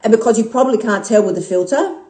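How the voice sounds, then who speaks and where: A woman's voice: on the high side, quick pace, warm tone, echoey room. A woman in her fifties giving a blunt, casual motivational talk to viewers, swearing freely and laughing often. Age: 50s. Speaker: vlogger and motivational speaker.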